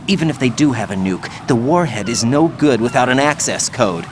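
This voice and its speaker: A man's voice: medium pitch, lively intonation, fairly quick, close to an everyday voice. A man playing a wary young special forces operative. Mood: questioning. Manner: questioning, wary. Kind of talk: video game cutscene acting. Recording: game mix with clear background noise.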